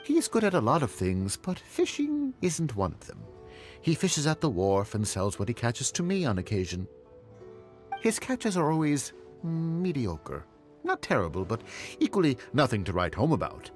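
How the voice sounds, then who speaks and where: Chatty, folksy fishmonger. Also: male voice, mid-range voice, huge pitch swings, moderate pace, soft background music. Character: friendly middle-aged fishmonger.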